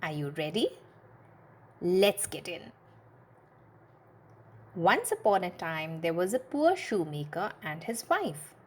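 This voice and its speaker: Female children's storyteller, scripted read-aloud, unhurried and deliberate, lively intonation. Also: fairly low pitch. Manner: expressive, deliberate phrasing for children.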